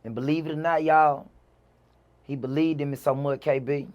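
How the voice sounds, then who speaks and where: A man's voice: on the high side, steady tone, moderate pace, a little mellow. Southern man with a Mississippi drawl, slangy. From Mississippi, USA. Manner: slangy, repeats himself, frequent "you know". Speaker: interview guest, rapper. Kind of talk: casual conversational storytelling.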